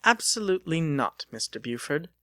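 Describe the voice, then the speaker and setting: Man putting on a playful young lady, lifted pitch. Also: sing-song, normal speed, bright, forceful tone, no room sound, clean studio sound. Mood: playfully teasing. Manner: playful, prim. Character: playful, dainty young lady with teasing confidence. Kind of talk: dramatic audiobook character reading.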